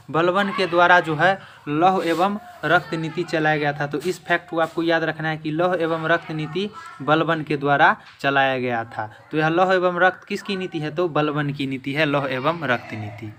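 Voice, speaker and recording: A man's voice: on the high side, moderate pace, fairly bright tone. A man giving a quiz lesson as an exam-prep teacher, restating key points with emphasis. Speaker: exam-prep teacher and video channel host. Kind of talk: quiz lesson, explaining question and answer. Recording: clear background noise.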